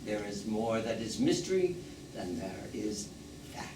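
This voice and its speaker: An actor playing a proud, wistful old dead man in a stage play, musing philosophically in a deliberate, theatrical way. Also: fairly low pitch, big pitch swings. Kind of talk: live stage play monologue addressed to audience. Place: small theatre stage, recorded from the audience. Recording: echoey theatre from far off, noisy.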